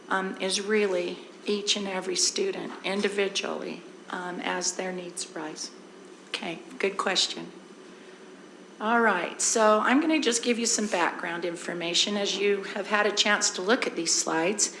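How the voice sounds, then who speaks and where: A hoarse woman, a district administrator, giving a relaxed presentation at a school board meeting and explaining with everyday examples. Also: mid-range voice, unhurried, echoey room, slight background noise, low-quality recording.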